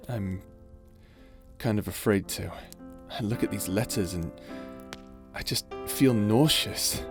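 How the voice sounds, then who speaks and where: A man playing a tender, weary space pirate in an intimate ASMR roleplay, speaking softly and hesitantly over music. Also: fairly low pitch. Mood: tender, uneasy. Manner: soft, hesitant, confiding.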